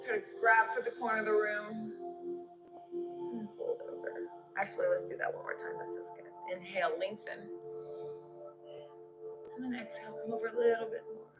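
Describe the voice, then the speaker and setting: A woman's voice: fairly high pitch, steady tone, moderate pace, long pauses, highs cut off. Echoey woman yoga instructor over music. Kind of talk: guided yoga class instruction, step-by-step cues. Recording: roomy echo with music behind.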